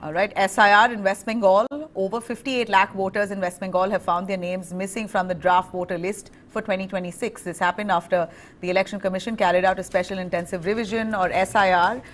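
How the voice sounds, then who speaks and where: A woman with an Indian accent reading a live news bulletin as anchor, in a clear, firm delivery. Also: mid-range voice, steady tone, brisk.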